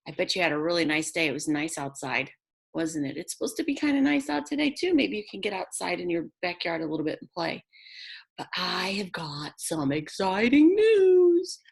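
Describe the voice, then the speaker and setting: A woman's voice: lowish voice, big pitch swings, normal speed, gravelly. A woman teacher reading a children's book aloud, speaking expressively and directly to young listeners with chatty, simple asides.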